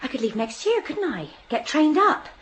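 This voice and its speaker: Eager, high-voiced teenage girl. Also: sing-song, normal speed, fairly bright tone, a little hiss or hum. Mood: eager, pleading. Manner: pushy, hopeful questioning. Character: eager, persistent sixteen-year-old signalman's daughter. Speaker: actor in a radio play. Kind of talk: naturalistic radio drama acting.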